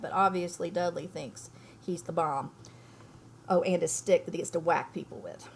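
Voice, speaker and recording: A woman's voice: on the low side, darkish tone, a little hiss or hum. A woman reading a children's book aloud expressively, in an explanatory manner with informal asides. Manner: explanatory, checks understanding with listeners.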